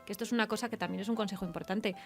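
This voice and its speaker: A woman's voice: medium pitch, fairly even intonation, racing, soft background music. A woman with a Spain accent interviewing casually, thinking aloud and checking in with tag questions. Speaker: radio interviewer. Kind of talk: casual literary interview chat.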